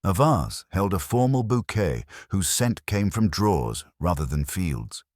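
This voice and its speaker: A man narrating a scripted mystery story in a measured, formal, literary manner. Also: sits low, big pitch swings, a little mellow, no room sound, clean studio sound.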